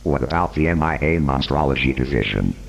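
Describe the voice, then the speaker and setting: A man's voice: rumbling low, expressive, fairly quick, creaky, a little hiss or hum, heavily acted. A man playing a persistent, probing interrogator who questions formally and insistently in a scripted horror interview.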